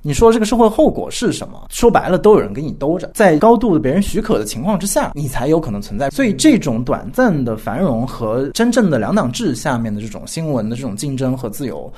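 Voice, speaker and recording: A man's voice: on the high side, sing-song, fast, hardly any pauses, warm tone, breathy edge. A man speaking as a guest commentator in an analytical discussion, reflective and rephrasing as he builds his argument step by step. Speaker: guest commentator, journalist and editor.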